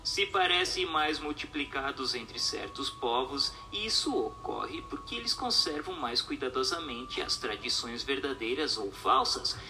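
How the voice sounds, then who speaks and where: A man's voice: mid-range voice, pushed and bright, a little hiss or hum, low-quality recording. A man narrating a scripted, dramatized book reading in a formal, declamatory way, posing questions and reading out commentary.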